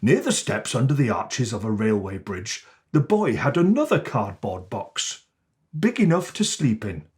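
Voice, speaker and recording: Casting: male Father Christmas storyteller, very unhurried, theatrical, with widely varied intonation for children. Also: mid-range voice. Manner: theatrical, deliberate, expressive phrasing.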